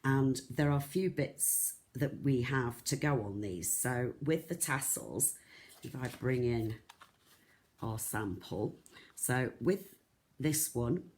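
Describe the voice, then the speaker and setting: Croaky, soft-spoken beading tutor. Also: mid-range voice, animated, slow pace. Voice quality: soft, not loud. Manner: chatty, rambling, lots of ums and restarts. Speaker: craft shop tutor. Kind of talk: live-streamed beading tutorial.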